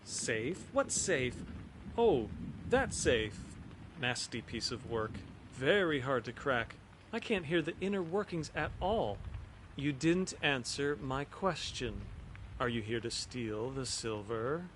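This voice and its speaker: A man's voice: mid-range voice, expressive, slow pace, fairly bright tone, slight background noise. A man playing a shifty, haughty suitor in a video game, defensive and suspicious in manner. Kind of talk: acted game dialogue.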